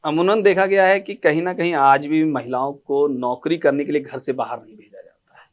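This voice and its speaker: A man's voice: a little high, steady tone, normal speed, dull audio. A man hosting a formal news interview.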